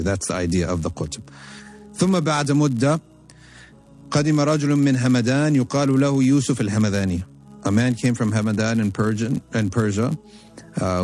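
A man's voice: lowish voice, a little mellow. A man teaching a religious history lecture, stressing his points by repetition and reading classical Arabic aloud before translating it line by line. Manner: emphatic, repeats points for effect.

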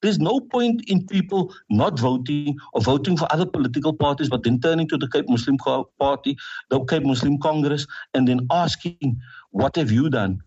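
A South African city councillor in a radio interview, making an impassioned, emphatic appeal for support. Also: male voice, on the high side, normal speed, a little mellow, breathy edge, muffled recording. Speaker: city councillor and party leader.

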